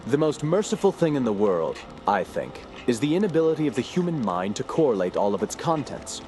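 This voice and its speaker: An actor playing a grave, brooding gentleman scholar who narrates a horror audio drama in a formal, measured, ominous way. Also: mid-range voice, sing-song, over music, natural voice. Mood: grave, foreboding.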